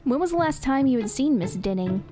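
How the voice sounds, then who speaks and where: A woman's voice: high voice, expressive, fairly quick, over music. An actress playing a female detective who questions a mayor formally and pointedly. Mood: probing. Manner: formal, probing. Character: poised female police detective. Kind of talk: audio drama acting.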